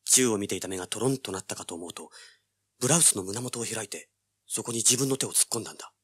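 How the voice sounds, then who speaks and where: An actor playing a cocky, hard-boiled young treasure hunter, brash and wry, in a dramatized audiobook. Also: male voice, on the low side, lively intonation, fast. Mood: exasperated, wry. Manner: brash, wry, rough-spoken. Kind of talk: dramatized audiobook acting with first-person narration.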